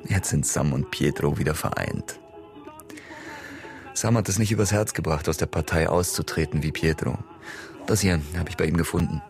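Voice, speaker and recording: Deep, gravelly, blunt comrade. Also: male voice, normal speed, a little mellow, faint music behind. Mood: grim, dry. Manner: terse, dry, colloquial. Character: blunt, gloomy working-class male comrade bearing bad news. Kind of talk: radio crime drama acting.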